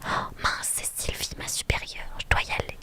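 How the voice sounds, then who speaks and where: A woman's voice: normal speed, natural voice. Woman whispering as a bubbly young woman friend. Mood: hurried, friendly. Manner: whispered, chatty.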